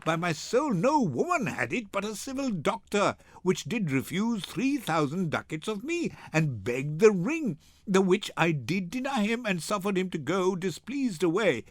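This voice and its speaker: A man playing an earnest, apologetic nobleman husband, pleading his honour in solemn, measured Shakespearean verse. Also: high voice, sing-song, no echo, heavily acted.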